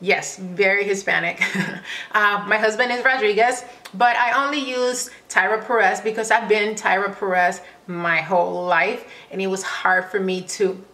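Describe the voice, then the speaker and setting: A woman's voice: medium pitch, fairly even intonation, normal speed, sharp tone. A woman in her thirties from Panama with a Spanish accent, chatting to camera as host of her own Q and A vlog, laughing often and filling gaps with "um" and "you know". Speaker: vlogger host. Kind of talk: casual Q and A chat to camera.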